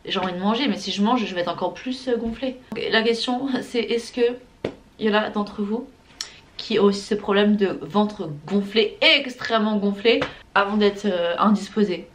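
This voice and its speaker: A woman's voice: medium pitch, moderate pace. A young woman chatting casually to camera in a slangy, emphatic way, dropping in English phrases. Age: young adult. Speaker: vlogger. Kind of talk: casual vlog chat to camera. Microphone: camera or phone held while vlogging. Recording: clearly noisy everyday vlog audio.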